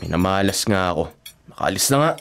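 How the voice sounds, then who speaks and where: Exasperated, grumbling younger brother. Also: male voice, low voice, animated, fast talker, creaky, a bit bright, slight background noise, full character voice. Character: exasperated younger brother, hard-up family man, grumbling and skeptical. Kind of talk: radio drama acting.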